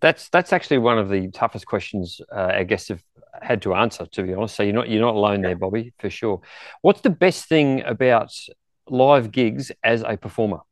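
A man with a moderately low voice interviews a guest. He speaks informally, in the everyday conversational style of a podcast host. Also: moderate pace. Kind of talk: casual interview chat.

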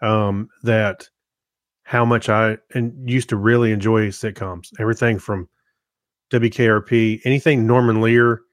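A man co-hosting a podcast in casual, unscripted talk, hesitant and often pausing to search for his words. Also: on the low side, fairly even intonation, no echo, clean studio sound. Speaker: podcast co-host reviewing TV shows.

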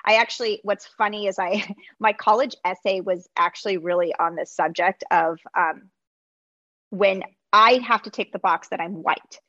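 A woman's voice: medium pitch, moderate pace, sharp tone, no echo, lo-fi sound. A woman host, a native Greek speaker from the United States, talking in a rambling, conversational way with many restarts. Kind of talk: conversational interview.

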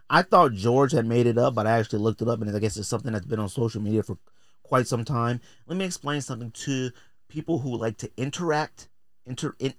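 Podcast host: American man, slangy and opinionated, playful and blunt, highly expressive pitch, dry close recording. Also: dry recording. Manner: slangy, blunt, joking, theatrical delivery. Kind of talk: casual opinionated podcast commentary.

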